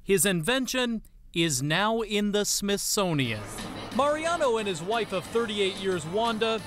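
A male news correspondent narrates a scripted feature with a bright, high, animated delivery. Music plays clearly under his voice-over. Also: a little slow. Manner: lively, polished reporting.

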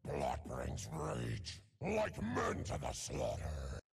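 A man voicing a savage, tormented werewolf monster from a video game in a rasping growl, with terse, menacing snarled phrases. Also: fairly low pitch, wildly swooping pitch, drawn-out words, a little hiss or hum, full character voice. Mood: menacing, bloodthirsty. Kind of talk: video game champion voice lines.

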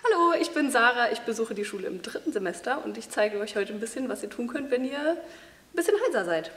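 A young woman with a fairly high voice explains breathing and voice exercises, stopping now and then with fillers. She stands in a large hall with a wooden floor, and the room gives her voice a clear echo. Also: expressive, quick pace, a bit bright. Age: young adult. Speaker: voice training student. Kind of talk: demonstrating voice exercises. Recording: echoey hall.

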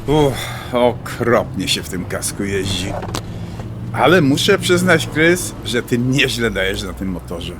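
A man's voice: medium pitch, very animated, measured pace, noisy background. An actor playing a devout middle-aged man, a teasing and reassuring friend who talks colloquially in an audio drama. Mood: teasing, friendly. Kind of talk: dialogue acting in an audio drama.

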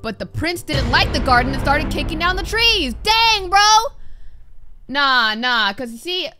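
Animated, high-voiced young woman gamer. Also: unhurried, faint music behind. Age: young adult. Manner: animated, reactive, talks to the game. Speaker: gaming streamer. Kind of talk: casual playthrough commentary with reading aloud.

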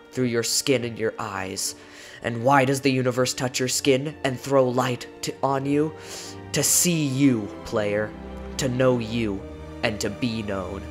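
A man narrating a poem as a dramatic reading, with theatrical delivery and deliberate pauses. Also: mid-range voice. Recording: music behind the voice.

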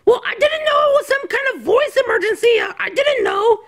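Woman doing an extremely high, excitable cartoon guy. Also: a little slow, gravelly, exaggerated voice. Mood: defensive. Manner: exclaiming, defensive.

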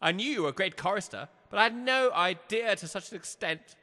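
A man playing a pompous, excitable village major with a flowery manner and an upper-class English accent in a comic audio drama. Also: high voice, brisk, dry recording, clean studio sound. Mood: jovial.

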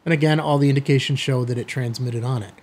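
A middle-aged man hosting a hobby video, giving a product demonstration to camera in a husky voice. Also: mid-range voice, fairly even intonation, fast, a little mellow. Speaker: host of a ham radio video channel. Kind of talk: product test demo to camera. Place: small indoor studio room.

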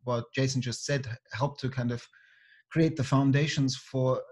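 A man's voice: mid-range voice, steady tone, a little slow, darkish tone. A man hosting a video call conversation from a home office, asking questions with hesitant restarts. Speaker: host. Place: home office with bookshelves, indoors. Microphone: webcam on video call. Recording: dull lo-fi call audio.

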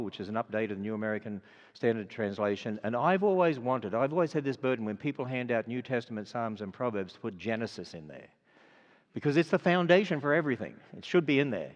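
A man's voice: mid-range voice, expressive, brisk. An older man with an Australian accent, closing a sermon and then making announcements in an earnest, conversational way with many fillers. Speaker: speaker. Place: large auditorium. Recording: dull sound with long hall reverb.

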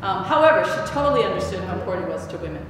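A woman's voice: lowish voice, very animated, very fast. Older woman, panel speaker, chatty with restarts, heard from a distance in a big echoey hall with noise. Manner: conversational, restarts and self-corrections. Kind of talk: answering audience questions on a panel. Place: large echoey hall with stone walls. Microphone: table mic, picked up from a distance.

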